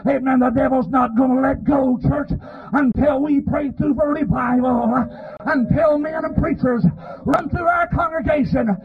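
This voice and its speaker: A man preaching a fervent revival sermon in a raspy, strained voice, pleading and often shouting, punctuating his phrases with amens. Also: very high voice, fairly even intonation, measured pace, a faint crowd. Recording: dull, lo-fi sermon recording.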